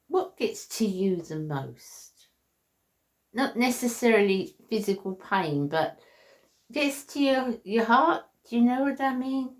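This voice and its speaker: A woman's voice: on the low side, a little mellow. A woman, chatting earnestly to her viewers, with lots of ums and restarts. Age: 60s. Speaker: beauty vlogger. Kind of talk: heartfelt casual vlog chat. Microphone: basic camera mic.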